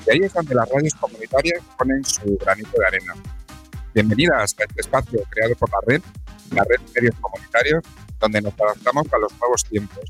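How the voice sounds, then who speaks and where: A man's voice: medium pitch, expressive, a little fast, a bit bright, faint music behind. A man co-hosting and presenting a community radio programme. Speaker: radio co-host.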